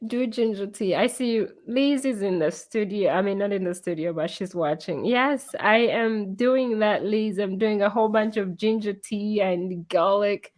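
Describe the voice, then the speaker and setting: A woman with a hoarse voice from being sick, hosting a casual live-streamed interview over a video call. She giggles often and chats loosely with many fillers. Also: mid-range voice, normal speed, hardly any pauses, darkish tone. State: hoarse from illness. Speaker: interview host. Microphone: computer mic on a video call.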